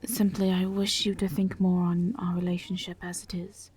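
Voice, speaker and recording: A woman playing a gentle, reserved vampire in a roleplay audio drama, speaking in a hushed, breathy voice with careful, halting, formal phrasing. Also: on the low side, flat delivery. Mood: hesitant, warm. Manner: halting, careful, formal diction without contractions. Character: gentle, reserved female vampire travelling with a knight.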